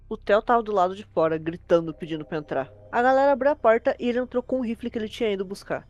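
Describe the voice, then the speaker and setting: A woman's voice: medium pitch, darkish tone. A woman with a Brazilian accent narrating a horror story in an informal storytelling style.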